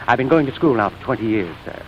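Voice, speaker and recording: An actor playing an over-educated, deferential valet in a formal, pedantic manner, in 1940s comedy style. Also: male voice, medium pitch, expressive, quick pace, natural voice. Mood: earnest, formal. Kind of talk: 1940s comedy film or radio acting. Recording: dull, noisy vintage 1940s recording.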